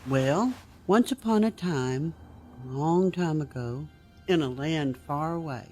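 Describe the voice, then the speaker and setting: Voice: mid-range voice, animated, slow, mellow. A homely storyteller talking to children at bedtime in an audio drama. The delivery is patient and folksy, over faint music. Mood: gentle, patient.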